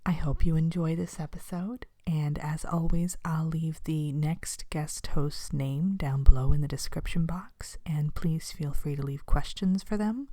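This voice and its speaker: A woman ASMR host talks casually in a soft, whispery voice. It sits low and has a mellow, relaxed tone. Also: measured pace, hardly any pauses, dry recording.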